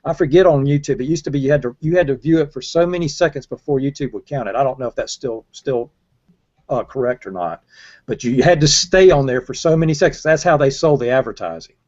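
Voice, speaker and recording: A man's voice: medium pitch, fast, a little mellow. An older man speaking informally as a panelist on a video call. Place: indoor room on a video call. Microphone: earbud headset. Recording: dull lo-fi video call audio.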